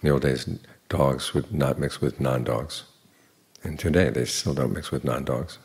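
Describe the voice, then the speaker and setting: An older man, a Buddhist monk, giving an evening dharma talk in an unhurried, plain teaching manner with dry humor. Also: darkish tone. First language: English. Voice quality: very deep gravelly voice.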